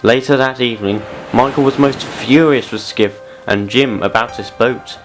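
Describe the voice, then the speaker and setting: Mid-pitched male storyteller over music. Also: normal speed, rough recording. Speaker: narrator. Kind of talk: scripted story narration.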